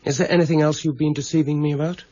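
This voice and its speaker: An actor playing a stern, brooding police detective in a radio crime drama, questioning in a measured way. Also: male voice, a little high, steady tone, mellow, muffled recording, a little hiss or hum, close to an everyday voice. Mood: stern, pressing. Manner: measured, interrogating. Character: probing, brooding male senior police detective, stern and authoritative.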